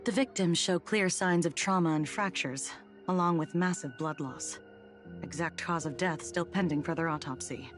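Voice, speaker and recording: Actress doing a cool game cop lady, lowish dry voice, businesslike, music underneath. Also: lightly acted. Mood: clinical. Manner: businesslike, dry, unhurried authority. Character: cool, composed female security officer, faintly wry.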